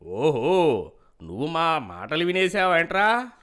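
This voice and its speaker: A man voices a scheming older village president in a moral tale. He talks in persuasive, self-justifying turns, feigning pity. The pitch sits fairly high for a man and swings widely. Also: sing-song, slow pace, a bit bright, exaggerated voice. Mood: menacing. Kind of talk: dramatized moral story dialogue.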